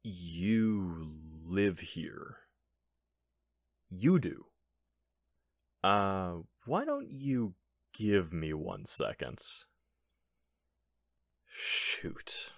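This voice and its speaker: A man's voice: low-pitched, huge pitch swings, extremely slow, gravelly, long pauses, no room sound, clean studio sound, full character voice. A man playing a nervous, bumbling burglar in an audio roleplay, stammering and bluffing with theatrical emphasis and indignation. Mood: nervous, flustered. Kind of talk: ASMR audio roleplay acting.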